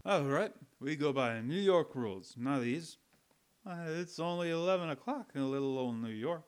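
A man's voice: a little high, lively intonation, normal speed, smooth, lightly acted. A man playing a stubborn, tipsy American drinking pal in a radio play, slangy and challenging, with an American accent. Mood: insistent. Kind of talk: old-time radio drama acting.

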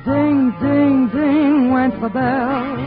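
A woman's voice: high-pitched, fairly even intonation, extremely slow, music in the background. A woman singing a show tune in a 1940s popular style on an old radio broadcast. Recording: dull old radio recording with loud band.

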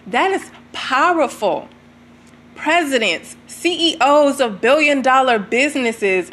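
A woman's voice: fairly high pitch, a bit bright. A woman giving a motivational talk as a guest speaker, chatty and addressing her listeners directly. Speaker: entrepreneur and stylist guest speaker.

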